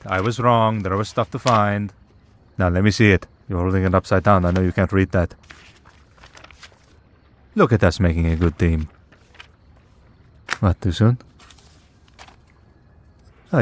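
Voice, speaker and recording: A man's voice: deep voice, lightly acted. A man playing a wry, teasing vampire in a priest's collar for an ASMR roleplay, in a sardonic, conversational way.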